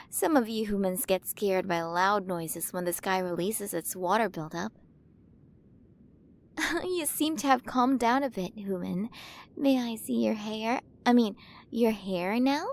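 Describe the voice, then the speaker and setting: A woman playing a towering alien queen in an ASMR roleplay, cooing to the listener with doting, possessive curiosity about tiny humans. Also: mid-range voice. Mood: soothing. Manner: cooing, fascinated, quaintly mispronouncing human words.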